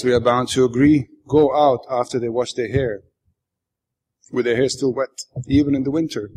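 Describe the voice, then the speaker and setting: A man from Bosnia, a native Bosnian speaker with a Bosnian accent, reads literary prose aloud as its author in a wry, deadpan manner. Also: medium pitch. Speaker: author reading his own work. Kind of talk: literary reading aloud to an audience.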